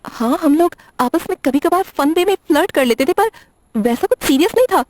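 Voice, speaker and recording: A woman's voice: high voice, expressive, brisk, a little mellow, no room sound. A woman playing a nervous, apologetic young librarian in an audio drama, hesitant, stammering and defensive. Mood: flustered, defensive. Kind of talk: Hindi audio drama acting.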